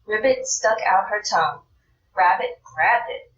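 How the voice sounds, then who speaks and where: A woman reading a children's story aloud in a deliberate, expressive narrating style. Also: mid-range voice, lo-fi sound. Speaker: storybook reader. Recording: roomy, distant mic, faint noise.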